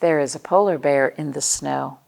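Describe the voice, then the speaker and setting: A woman's voice: low-pitched, moderate pace, creaky, a little mellow. A woman hosting a storytime for young children as a librarian, speaking expressively and putting questions to her young listeners.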